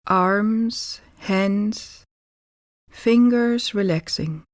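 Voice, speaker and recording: A woman leads a guided meditation at a very unhurried pace. Her mid-pitched voice is calm and deliberate, leaving pauses between gentle instructions. The sound is close and dry, with no room echo. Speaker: yoga nidra meditation teacher.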